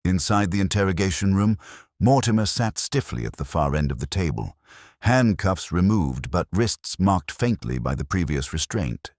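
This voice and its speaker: A man narrating a scripted mystery story in a measured, literary way. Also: low voice, sing-song, darkish tone, no room sound, studio quality.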